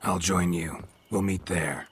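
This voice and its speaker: A stoic fantasy game mercenary with a very low, extremely husky voice. He talks in terse, deadpan phrases with cool menace. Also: a little slow, exaggerated voice. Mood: matter-of-fact. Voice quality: gravelly, very husky. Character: gruff, stoic monster-hunting mercenary, coolly menacing. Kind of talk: dramatic video game cutscene acting.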